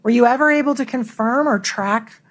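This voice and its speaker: A woman's voice: a little high, moderate pace. A woman lawyer questioning a witness in a courtroom, formal, pressing and insistent. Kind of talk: courtroom cross-examination.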